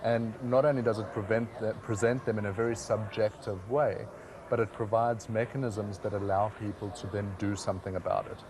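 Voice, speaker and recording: A man's voice: on the low side, steady tone, fairly quick, background noise. A man from South Africa, a website founder answering interview questions with many fillers and restarts.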